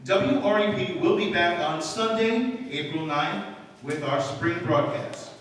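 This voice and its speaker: A man announcing in a measured, formal, scripted radio broadcast style. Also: sits high. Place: hall with live audience. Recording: very echoey hall from far off, faint noise.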